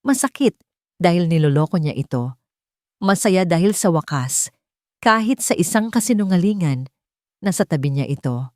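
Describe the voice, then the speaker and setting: A woman narrating a scripted romance story in a soft, measured storytelling manner. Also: lowish voice, expressive, no echo, clean studio sound.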